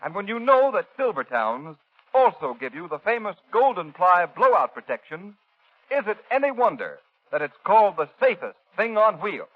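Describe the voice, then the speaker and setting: A male announcer reads an old-time radio advertisement. His voice is high for a man, with lively swings in pitch and an emphatic, persuasive push. The sound is dull, like an aged broadcast. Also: normal speed. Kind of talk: scripted 1930s radio sales pitch. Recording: dull, band-limited 1930s radio recording.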